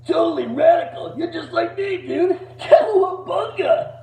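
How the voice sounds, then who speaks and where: Young cartoon surfer dude, very high, excitable, laid-back, roomy distant mic. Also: male voice, a little slow, gravelly, heavily acted. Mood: stoked. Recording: roomy, distant, dull low-fidelity mic.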